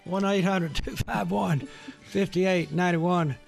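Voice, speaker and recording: A hoarse man, hosting a live call-in show in a folksy, joking way. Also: sits high, steady tone, unhurried, faint music behind. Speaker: radio and TV talk show host.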